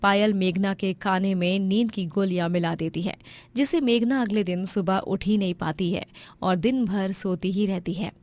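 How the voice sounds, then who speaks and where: Woman narrator, medium-pitched storytelling. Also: normal speed, darkish tone. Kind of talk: scripted moral story narration.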